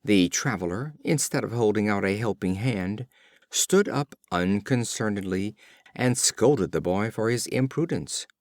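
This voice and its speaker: A man with a fairly low voice reads fables as an audiobook narrator. His pitch moves in big, animated swings like an expressive storyteller. The recording is dry and close, with very little room sound. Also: a little slow, no room sound. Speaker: audiobook reader.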